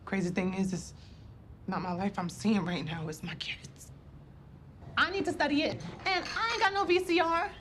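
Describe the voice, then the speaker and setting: Woman playing exasperated determined woman, mid-pitched, naturalistic film acting, light rumbling noise. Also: normal speed, natural voice. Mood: exasperated, insistent. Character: exasperated, plain-spoken woman, a determined mother.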